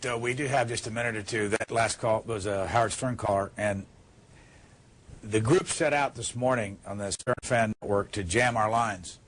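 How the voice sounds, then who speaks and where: A man hosting a live call-in program in measured talk, with a husky voice. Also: on the low side, a bit bright, low-quality recording. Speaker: call-in show host.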